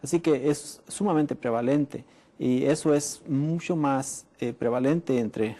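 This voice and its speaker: A middle-aged man from Mexico, a public health doctor explaining in a studio interview, measured and pausing often with "eh". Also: mid-range voice, warm tone. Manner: measured, frequent "eh" pauses.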